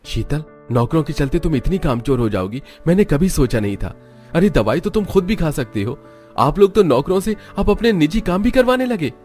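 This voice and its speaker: A man's voice: on the high side, lively intonation, normal speed, a little mellow, soft background music, voice pushed up, lightly acted. A man voicing an indignant young master of a wealthy household in a cartoon story, scolding and emphatic. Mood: exasperated, scolding. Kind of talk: Hindi cartoon story voice acting.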